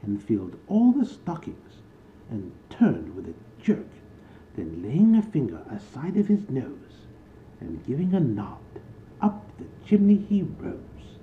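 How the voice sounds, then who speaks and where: A man playing an elderly, cheerful, doting Uncle Scrooge, reading a Christmas storybook poem in an animated, theatrical way. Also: medium pitch. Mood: wondering, merry. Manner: animated, dramatic, halting and fussy when chatting. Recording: dull lo-fi recording with faint background noise.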